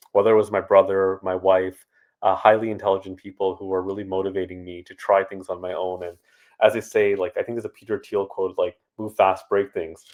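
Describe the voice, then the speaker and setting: A man, a startup founder answering interview questions in a relaxed, conversational way with many fillers. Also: low-pitched. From Canada.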